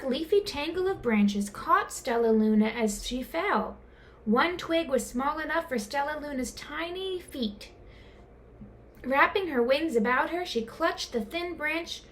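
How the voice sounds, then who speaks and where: A woman reading a children's storybook aloud in an expressive, dramatic way, drawing out words. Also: sits high, slow pace. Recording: clear background noise.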